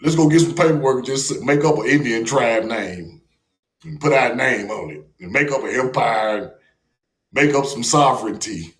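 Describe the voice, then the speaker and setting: A man's voice: medium pitch, normal speed, fairly bright tone. American guy going off on a live stream, mocking and cussing, echoey room. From United States. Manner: mocking, profane, confrontational. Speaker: livestream host. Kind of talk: profane livestream rant. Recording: roomy echo.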